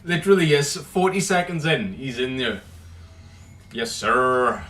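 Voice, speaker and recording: A young Welsh man hosting a casual livestream, greeting viewers by name and chatting loosely, loud when he greets people and low and mumbled when he muses. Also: animated, measured pace. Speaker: livestream host. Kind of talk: casual livestream chat reading comments.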